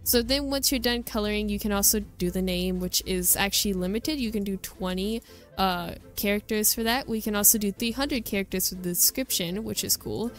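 A woman's voice: mid-range voice, a little fast, faint music behind. A woman hosting a gaming video, giving casual, chatty commentary as she shows things off. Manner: chatty and informal. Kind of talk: casual game showcase commentary.

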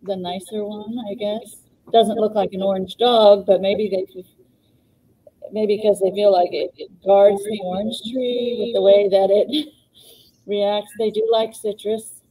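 Chatty woman co-host with a mellow voice. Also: mid-range voice, level tone, measured pace, low-quality recording. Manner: chatty, laughs often, many ums. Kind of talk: live online plant advice show. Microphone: webcam on a video call.

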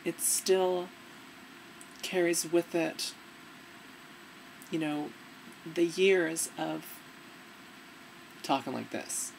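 A young woman talks into her webcam in a dim room, her voice a touch low and noticeably gravelly. She gives earnest, relaxed advice in a casual vlog manner, with faint hiss in the background. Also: extremely slow, pauses often, rough recording. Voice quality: fairly deep, gravelly. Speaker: vlogger.

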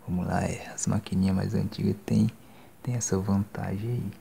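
A young man in his twenties with a Brazilian accent, doing a soft-spoken, whispered ASMR barber roleplay in a casual, slangy way. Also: fairly low pitch, fairly even intonation, normal speed, vocal fry, slight background noise. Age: 20s. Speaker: ASMR creator playing a barber. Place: small decorated indoor room. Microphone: handheld phone microphone up close.

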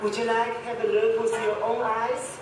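A man from China explaining things as a local guide in a filmed clip, halting and laughing things off. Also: very high voice, completely flat delivery. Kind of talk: conversational explanation. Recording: echoey hall, far off, crowd noise.

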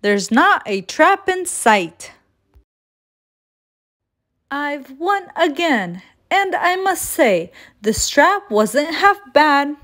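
A woman's voice: high-pitched, big pitch swings, drawn-out words, fairly bright tone, pitched above the performer's own voice, heavily acted. A woman voicing a cheeky, boastful little gingerbread man in a children's storybook, playful and taunting in sing-song rhyme. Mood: gleeful boasting. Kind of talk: children's storybook read-aloud.

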